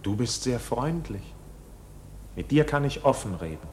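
A man's voice: medium pitch, big pitch swings, a little slow, slight background noise. An actor playing an accused man in a radio drama, defiant and anguished, with impassioned, theatrical delivery. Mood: subdued trust. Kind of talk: dramatic radio play acting.

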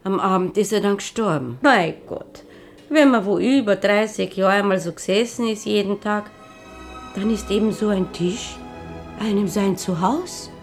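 An actress playing an old Viennese washroom attendant. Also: female voice, mid-range voice, moderate pace, music in the background, natural voice. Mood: mournful. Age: older. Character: old female washroom attendant, simple, kind-hearted. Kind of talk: radio play acting.

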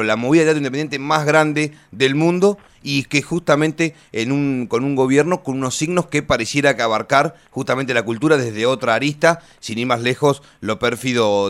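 A man's voice: mid-range voice, a bit bright. A man with an Argentine accent hosting a radio interview, asking long rambling questions padded with fillers. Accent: Argentine Spanish.

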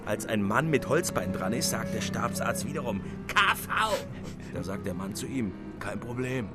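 An actor playing an earthy, sardonic veteran soldier and storyteller in a radio play, with an animated way of talking. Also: male voice, fairly low pitch, moderate pace, natural voice. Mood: wry, mocking. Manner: animated, mocking. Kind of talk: German radio play acting. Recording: music behind the voice. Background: music.

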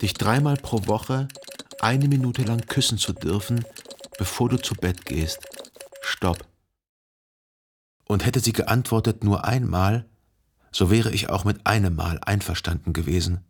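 A jealous, lovesick man narrating his own story in a radio drama. His voice is fairly low. He speaks deliberately and introspectively, as if questioning himself. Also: slight background noise. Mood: desperate. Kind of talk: literary radio drama first-person narration.

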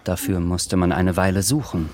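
An actor narrating a sober wartime story in a scripted radio drama. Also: male voice, sits low, steady tone, normal speed, close to an everyday voice. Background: dramatic music.

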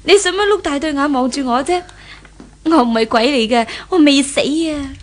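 A woman's voice: sits high, normal speed, close to an everyday voice. A woman playing an earnest, repentant married lady of a well-off house in a 1970s Hong Kong Cantonese radio drama, in a theatrical manner. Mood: gentle reassuring.

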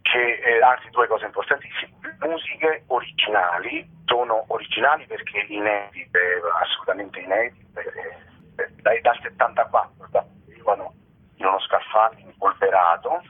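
A man's voice: lowish voice, moderate pace, husky. A male comedian chatting as a radio interview guest in a rambling, tongue-in-cheek, mock-solemn manner. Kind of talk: casual radio interview chat. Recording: muffled telephone line.

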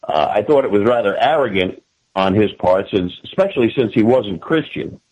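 Man, American accent, fairly low pitch, old-school radio voice, conversational interview guest, halting, repeated phrase starts. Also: expressive, a little mellow, low-quality recording. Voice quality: classic radio-style voice.

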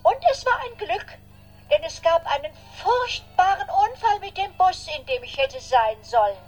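A woman's voice: very high-pitched, expressive, normal speed, soft background music. An actress playing a chatty, polite old lady who rambles formally in a radio drama phone message. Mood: amazed, chatty. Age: older.

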